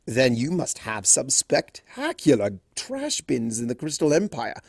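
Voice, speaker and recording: A theatrical male chimera as a storybook reader puts him on, mischievous and smug. He coos and drawls in mock flattery, with big swings of pitch. The recording is dry. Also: measured pace, vocal fry, husky, no room sound, heavily acted. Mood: mocking cooing.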